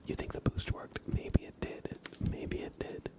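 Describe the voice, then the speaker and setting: A man hosting an ASMR livestream, whispering softly into a close microphone as he chats casually to viewers and comments on a race. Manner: soft whispering, unhurried chat to viewers. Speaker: livestream host. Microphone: close sensitive microphone.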